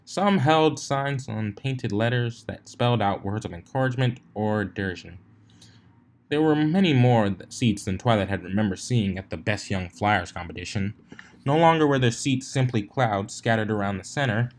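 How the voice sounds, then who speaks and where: Man narrating a story, mid-pitched. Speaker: fan fiction narrator.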